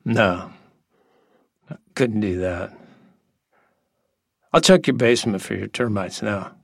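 An actor playing an odd, nostalgic exterminator in an audio drama, earnest and halting with a childlike sense of wonder. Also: male voice, fairly low pitch, creaky, no room sound, studio quality, full character voice. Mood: wistful. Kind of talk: intimate audio drama acting.

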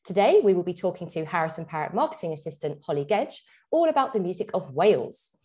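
Woman podcast host, lively pitch. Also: fast. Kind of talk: podcast interview, scripted introduction and questions.

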